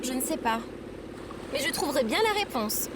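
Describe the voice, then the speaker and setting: A woman's voice: high voice, big pitch swings, moderate pace, noisy background. A woman playing an earnest, curious and naive female AI droid in an audio drama. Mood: questioning.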